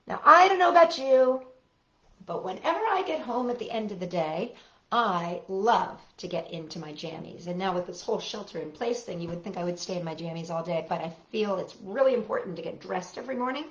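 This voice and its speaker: A woman's voice: mid-range voice, roomy sound, highs cut off. A woman hosting a children's story time, reading aloud and chatting playfully and expressively straight to young listeners.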